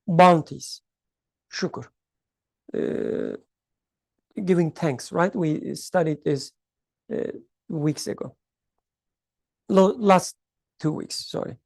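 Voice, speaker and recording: A teacher leading a study class, explaining a text in an unhurried way, thinking aloud and checking in with the class as they go. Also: mid-range voice, a little mellow, no echo. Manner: clears throat often.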